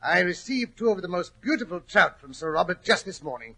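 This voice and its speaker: An actor playing a genial English gentleman storyteller in a 1940s radio drama, chatty and courteous, relishing his tale. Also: high voice, expressive, a little fast, slightly rough, full character voice. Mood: hospitable, amused. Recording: old 1940s radio recording.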